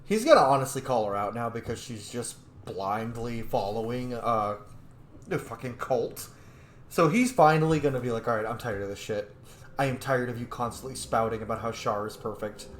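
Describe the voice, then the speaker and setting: A man's voice: medium pitch, animated, moderate pace. Casual male gamer in an echoey room. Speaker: gamer doing a roleplay playthrough. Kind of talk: casual game commentary, reading character lines aloud. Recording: echoey room with faint noise.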